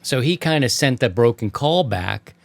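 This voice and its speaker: A man's voice: medium pitch, lively intonation, moderate pace, vocal fry, no room sound. An American man hosting a casual radio hobby talk show, telling stories in a slangy way with playful mock insults. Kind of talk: casual chat.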